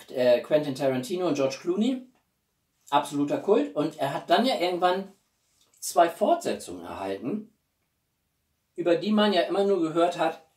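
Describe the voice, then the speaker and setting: A man in his forties chatting casually to viewers as a video host, with an expressive, emphatic delivery and dramatic pauses. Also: fairly high pitch. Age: 40s. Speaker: YouTube host. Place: small living room indoors. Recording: roomy echo, distant mic.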